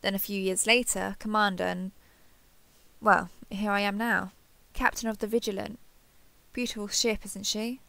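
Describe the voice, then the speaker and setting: A woman playing a warm, earnest female alien starship captain in a sci-fi roleplay audio drama. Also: medium pitch, flat delivery, moderate pace, gravelly, dry recording. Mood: fond, flustered. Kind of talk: roleplay audio drama, one-sided dialogue.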